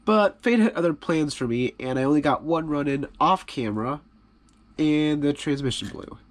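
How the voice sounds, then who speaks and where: A man with a stuffy voice from a cold, casually talking through a repair on his hobby channel in a relaxed, rambling, self-deprecating way. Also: a little high, normal speed.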